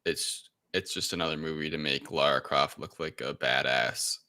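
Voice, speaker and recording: A man's voice: rumbling low, steady tone, normal speed, bright, forceful tone. A man talking casually as a panelist in a film review discussion. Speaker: podcast panelist.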